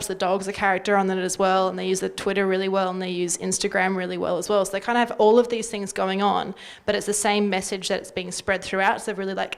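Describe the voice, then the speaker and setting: A woman's voice: mid-range voice, creaky. A woman giving an informal panel talk, peppered with "like" and "sort of". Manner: informal, uses "like" and "sort of" often. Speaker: panellist at music industry workshop.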